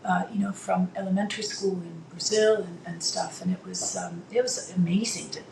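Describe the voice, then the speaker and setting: A woman's voice: on the low side, fairly even intonation, moderate pace, gravelly. A woman in her fifties whose first language is Dutch, a guest talking casually and hesitantly, with many fillers and restarts. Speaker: interview guest. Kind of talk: casual conversation. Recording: echoey room with faint background noise.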